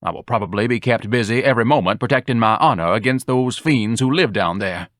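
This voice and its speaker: A man's voice: mid-range voice, a little fast, fairly bright tone, dry recording, studio quality, lightly acted. A man voicing a pompous, self-pitying fat young man who speaks in lofty, grandiloquent phrases. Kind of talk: audiobook dialogue.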